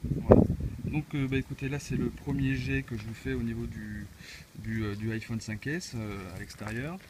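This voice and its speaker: A man's voice: medium pitch, steady tone, moderate pace, heavy background noise. A man with a cold giving a casual, hesitant unboxing walkthrough as a tech reviewer, with many filler sounds. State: has a cold.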